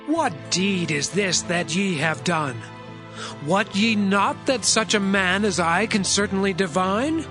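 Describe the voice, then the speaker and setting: Man acting a high-pitched, commanding Egyptian governor. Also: expressive, takes it slowly, music in the background. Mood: accusing. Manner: declamatory, archaic scriptural diction. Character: Joseph, commanding male governor of Egypt, stern lord. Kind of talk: dramatized Bible audio acting.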